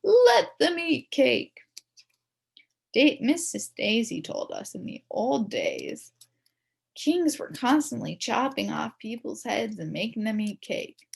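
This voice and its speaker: A woman reading a children's story aloud in the first person as a kid, expressively, with dramatic emphasis and drawn-out words. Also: on the high side, wildly swooping pitch, vocal fry, husky. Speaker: storybook reader.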